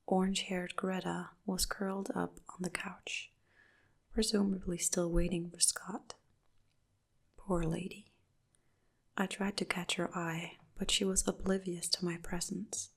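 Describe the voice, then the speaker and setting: A woman narrating a dark first-person story in a soft, hushed whisper throughout. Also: on the low side, little pitch movement, a little slow, gravelly, slightly rough.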